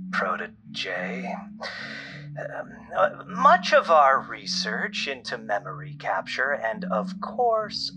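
A man playing a scheming, pompous doctor who drawls theatrically and drops into whispers, with music behind. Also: mid-range voice. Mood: smug. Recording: music underneath.

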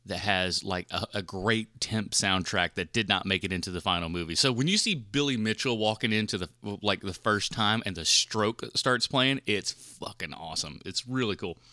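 A man's voice: fairly low pitch, normal speed, few pauses, bright, forceful tone, no echo, clean studio sound. A man hosting a film podcast solo in a casual, rambling, informal and mildly profane way. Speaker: podcast host reviewing films.

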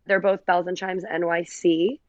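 A raspy-voiced woman, a podcast guest chatting casually, slightly hoarse. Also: fairly low pitch, level tone, moderate pace, no echo, dull audio. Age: 30s. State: recovering from covid, hoarse. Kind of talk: casual chat.

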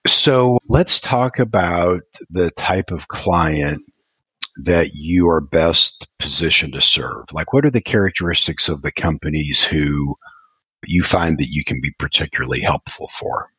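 Male podcast host, very deep and husky, friendly measured questioner, dry booth sound. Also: expressive, pushed and bright, no echo, rough recording. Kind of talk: casual interview hosting.

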